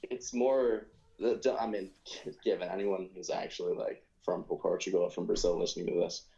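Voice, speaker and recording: A young man with a New York accent talks low and loose as a podcast guest, rambling through lots of ums and self-corrections. His voice comes through dull and lo-fi, as if over a remote connection. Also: steady tone, moderate pace, creaky, dark tone. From United States. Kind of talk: casual conversation.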